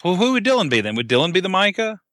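A man's voice: high-pitched, sing-song, racing, pushed and bright, dry recording, studio quality. A young man co-hosting a casual podcast chat, hesitant and full of ums. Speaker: podcast co-host.

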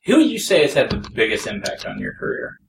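A man's voice: medium pitch, huge pitch swings, quick pace, far from the mic. A man interviewing a guest in a casual, playful way with teasing jokes. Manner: playful, joking. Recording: echoey, off-mic, faint noise.

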